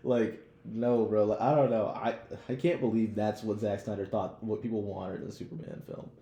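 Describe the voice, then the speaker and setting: Low, gravelly young American man, casual podcaster. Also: fairly even intonation, moderate pace, dark tone. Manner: rambling, heavy use of "like" and "bro". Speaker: podcast co-host. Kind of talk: casual, slangy pop-culture chat. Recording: roomy echo, clear background noise.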